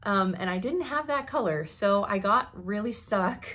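A young woman giving a chatty cross-stitch update from her home craft room, her voice slightly hoarse, laughing often as she thinks aloud. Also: mid-range voice, expressive, normal speed, a bit bright. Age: young adult. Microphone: camera in front at desk.

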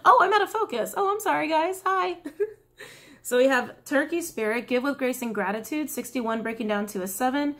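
A young woman with a raspy, slightly worn voice reads tarot cards straight to the camera. She talks casually and chattily, often laughing and apologizing along the way. She is recorded in a small indoor room. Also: sits high, normal speed, fairly bright tone. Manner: chatty, giggly, apologetic asides. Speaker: tarot reader.

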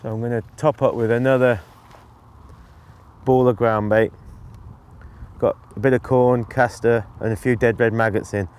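A middle-aged man giving relaxed fishing instruction outdoors, in unhurried, halting phrases as he works. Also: medium pitch, clear, resonant tone. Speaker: angling instructor, presenter. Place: outdoors on an open lakeside bank.

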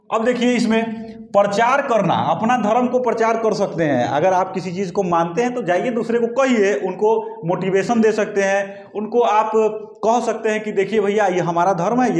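An echoey room carries the high voice of a male teacher with a Bihari accent. He explains things in a colloquial lecture style, repeating his points and speaking directly to his students. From Bihar, India. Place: small indoor classroom with whiteboard. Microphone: lapel mic.

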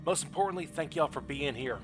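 A man's voice: fairly high pitch, lively intonation, fairly quick. Middle-aged male streamer, game music behind. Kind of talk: casual livestream commentary.

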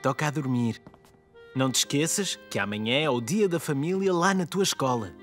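Calm cartoon dad played by a man. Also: medium pitch, moderate pace, faint music behind, lightly acted. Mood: calmly serious. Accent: European Portuguese. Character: calm, mildly firm young father. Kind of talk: children's cartoon dub.

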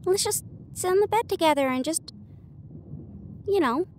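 A woman with a high voice plays an affectionate, bookish partner in an intimate audio roleplay. She speaks casually and chattily, pausing hesitantly between phrases. There is some audible noise behind her. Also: expressive. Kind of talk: intimate acted roleplay monologue. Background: ambient train sound effects.

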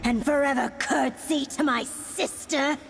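High, creaky taunting villainess. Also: female voice, steady tone, measured pace. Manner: drawling, taunting. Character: mocking, unhinged female villain claiming throne. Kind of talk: video game character dialogue. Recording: long reverb under loud music.

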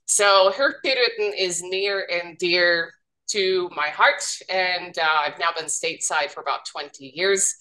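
A woman's voice: on the low side, measured pace, sharp tone, rough recording. A woman in her forties, from Norway, speaking as a guest in a webinar through a headset on a video call, upbeat and enthusiastic. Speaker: guest, business development manager. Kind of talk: casual webinar talk.

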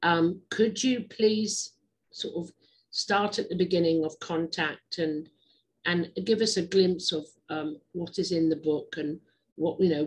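A woman hosting an interview show, chatty and digressive, quick to laugh. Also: sits low, a little mellow, rough recording. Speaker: host. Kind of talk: casual interview chat.

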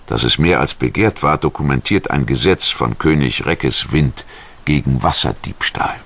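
A man reading scripted documentary narration in a measured, formal manner, as a studio voice-over. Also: a bass voice, creaky, fairly bright tone, slight background noise. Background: music.

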